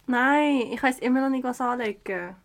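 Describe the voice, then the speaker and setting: A woman's voice: high-pitched, normal speed, creaky. A woman with a Swiss German accent playing a self-conscious teenage girl in a youth radio play. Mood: nervous. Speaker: actor in an audio drama.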